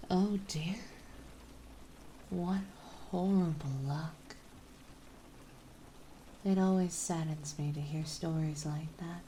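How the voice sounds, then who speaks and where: A woman playing a genteel, possessive vampire mistress in an ASMR roleplay, speaking softly, politely and soothingly. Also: fairly low pitch, a little hiss or hum. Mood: gracious, sympathetic. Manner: soothing, softly spoken, polite, unhurried, doting. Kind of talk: ASMR roleplay acting, partly whispered.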